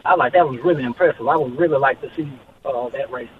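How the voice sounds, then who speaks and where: A man's voice: mid-range voice, fast talker. A man calling in to a radio show by phone, talking casually and hesitantly. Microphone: telephone. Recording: noisy, narrow phone line.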